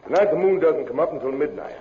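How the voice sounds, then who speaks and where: An actor playing a cunning, confident gang boss in a 1950s radio western, bossy and scheming. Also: male voice, on the high side, expressive, quick pace, natural voice. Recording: tinny, faintly noisy old radio broadcast.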